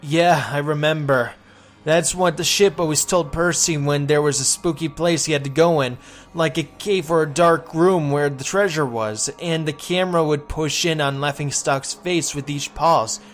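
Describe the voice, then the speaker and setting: A man's voice: a little high, moderate pace, soft background music, natural voice. A man playing someone uneasily recalling a creepy childhood puppet show in a dramatic forum reading. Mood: nostalgic dread.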